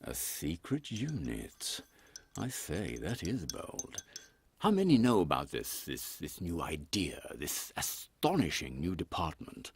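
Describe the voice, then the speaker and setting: A deferential British army captain in a steampunk audio comedy. His low, creaky voice swings widely in pitch as he blusters and stammers, pompous and easily shocked. The recording is very dry. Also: big pitch swings, slow pace, dry recording, full character voice. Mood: astonished. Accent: British English.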